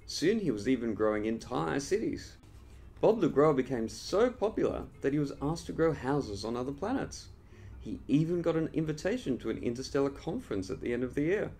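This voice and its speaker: A man reading his own children's story as an expressive storyteller, addressing young listeners directly. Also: medium pitch, fairly quick. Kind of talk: animated scripted storytelling for children.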